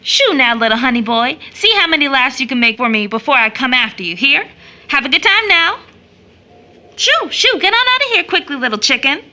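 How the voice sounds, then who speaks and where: A woman's voice: high voice, very animated, a little fast, sharp tone, full character voice. A woman playing a tender but troubled child-loving young woman in an audio drama, earnest and theatrical. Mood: playful, coaxing. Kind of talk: audio drama stage-style acting.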